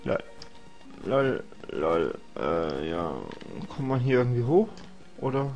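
A man's voice: mid-range voice, big pitch swings, takes it slowly, darkish tone, faint music behind. A young man with a cold, casually rambling and joking to himself while commentating a video game. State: has a cold. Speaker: let's play host.

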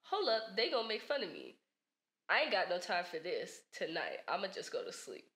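A woman's voice: medium pitch, huge pitch swings, normal speed, echoey room. A woman voicing a scared, reluctant girl at a sleepover in a spooky story, protesting and whining colloquially. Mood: annoyed. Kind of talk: acted dialogue in spooky storytelling. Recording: loud music behind.

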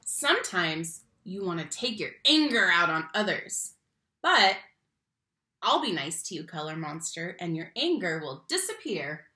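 Young woman, children's read-aloud host. Bright, expressive delivery with emphatic key words, roomy home recording. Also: lowish voice. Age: young adult. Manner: animated, slow emphatic phrasing on key words, addresses children directly. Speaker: read-aloud teacher and storyteller. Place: small room at home, indoors. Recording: roomy home webcam sound.

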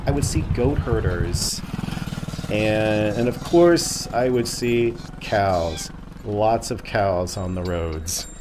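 A man's voice: fairly low pitch, noisy background. A man narrating a travel vlog and chatting as he walks through a ruined fort outdoors, filming with a handheld camera. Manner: chatty, explains as he walks, laughs now and then. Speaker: travel vlogger. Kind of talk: travel narration and walking tour commentary.

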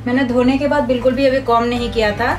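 A young woman calmly walks through a hair care routine step by step, speaking like a beauty vlogger in a small room. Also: a little high, fast, recorded from a distance, soft background music. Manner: calm, instructive, steady. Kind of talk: step-by-step tutorial.

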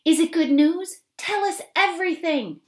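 A woman voicing an excitable, greedy middle-aged mother who keeps exclaiming. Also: measured pace. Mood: gleeful. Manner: shrill and exclaiming.

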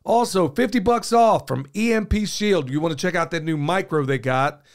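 Man, hoarse, folksy talk, podcast host, studio mic. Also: sits high, normal speed. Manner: folksy and talkative, says y'all, gestures a lot. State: hoarse, losing his voice. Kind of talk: casual podcast chat. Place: small podcast studio, indoors. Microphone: studio mic on boom arm, headphones on.

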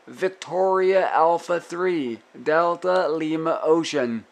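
A man's voice: on the high side, slow pace, vocal fry. A man working as an amateur radio operator, trading call signs and signal reports and repeating his phrases. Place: indoors at a radio desk. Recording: noisy room with radio static. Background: radio hiss and static.

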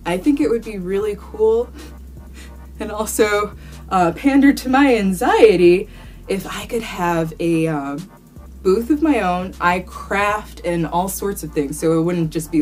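A woman's voice: lowish voice, lively intonation, slow pace, darkish tone, faint music behind. A young woman vlogger answering viewer questions to camera in a small room, chatty and rambling, cursing casually with lots of fillers. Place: small indoor room, plain wall.

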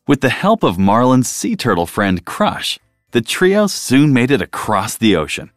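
A man reading a children's storybook aloud as narrator, in an expressive style, close to the mic. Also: mid-range voice, a little slow, no room sound, faint music behind.

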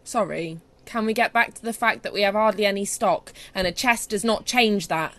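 A woman's voice: medium pitch, moderate pace, lightly acted. A woman playing a sensible, exasperated British manager of two inept brothers in a comic audio drama, sarcastic and weary.

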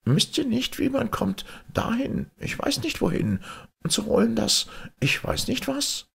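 Guy doing a bossy old fairy tale witch, high thin husky voice, swoops around. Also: sing-song, measured pace, fairly bright tone, full character voice. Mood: commanding, calling out. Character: commanding old witch woman, mother-in-law.

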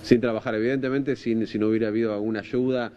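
A man in his thirties with a Rosario Argentine accent, reporting live on TV in an informal, halting way, repeating words as he thinks. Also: fairly low pitch, fairly even intonation, mellow, dull audio, slight background noise. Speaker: TV field reporter. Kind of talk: live unscripted news reporting. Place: outdoors at night by a park. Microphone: handheld microphone, through a face mask.

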